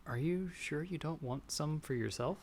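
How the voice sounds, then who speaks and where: A man's voice: fairly high pitch, normal speed, a little mellow, lightly acted. Man acting as a polite young farm lad, noisy ambience. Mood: curious, polite. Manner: hesitant, courteous, old-fashioned formal phrasing. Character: polite, humble young farmer's son sheltering from a storm. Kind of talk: ASMR audio roleplay acting.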